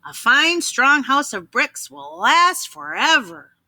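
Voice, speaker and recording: Woman reading a storybook tradesman, agreeable, advising, bright tone, lively intonation. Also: high voice, takes it slowly, no echo, clean studio sound, pitched above the performer's own voice, full character voice. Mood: helpful, cautioning.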